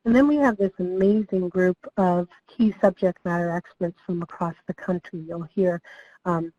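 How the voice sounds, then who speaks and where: A slightly hoarse woman, a project director, welcomes people to a training webinar and gives an overview, friendly and with frequent ums. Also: lowish voice, warm tone, lo-fi sound. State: slightly hoarse, losing her voice.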